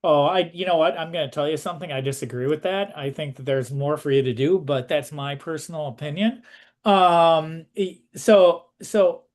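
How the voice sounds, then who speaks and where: Higher-pitched man interviewing casually. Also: moderate pace.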